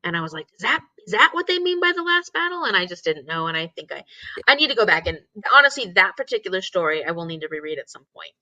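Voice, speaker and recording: A woman's voice: lowish voice, big pitch swings, quick pace, pushed and bright, no echo, rough recording. A woman hosting a casual live book discussion, thinking aloud and often posing questions. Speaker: teacher.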